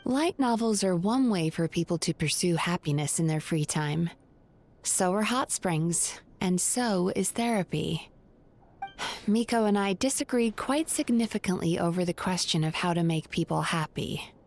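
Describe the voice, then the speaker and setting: A woman voicing a composed, gentle therapist in an anime-style game, speaking politely and with measured calm. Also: on the low side, a little hiss or hum.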